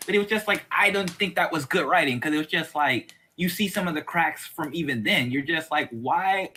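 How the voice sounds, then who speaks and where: A man with a bright, forward voice in a casual, opinionated chat. He rambles in colloquial phrases and circles back to stress his point. There is some faint noise and clicking on the recording. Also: fairly high pitch, fairly quick. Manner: rambling, colloquial, repeats for emphasis. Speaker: podcast host.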